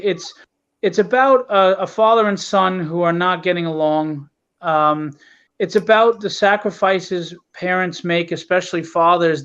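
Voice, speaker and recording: A man's voice: fairly bright tone, clear, resonant tone. A man giving an earnest, candid, casual account of his project as an interview guest. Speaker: guest, comic book writer and publisher. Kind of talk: casual interview talk, plugging and explaining a project. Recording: dull, low-fidelity call audio.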